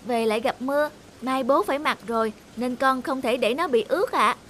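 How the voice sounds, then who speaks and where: A woman voicing an earnest, polite and devoted schoolboy son in an animated moral tale. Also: high-pitched, a little fast, slight background noise. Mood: earnest, loving. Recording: faint music behind the voice.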